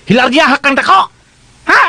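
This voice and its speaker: A man's voice: extremely high pitch, expressive, normal speed, sharp tone, dry recording, exaggerated voice. A man playing a vain, irritable young country fellow who squawks and scolds in a comic radio drama. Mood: indignant.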